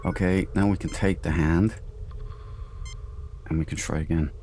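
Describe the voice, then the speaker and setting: A man's voice: low voice, steady tone, normal speed, darkish tone, slight background noise. A man doing casual let's play commentary, muttering to himself with laid-back, wry asides. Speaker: gamer, let's play host. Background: faint game music.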